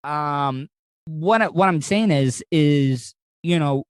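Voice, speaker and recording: A man's voice: fairly high pitch, slow, clean tone, no echo. American man chatting, dry sound. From United States. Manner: rambling, repeats words and restarts often. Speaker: podcast host. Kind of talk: casual podcast chat. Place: outdoors outside a hospital.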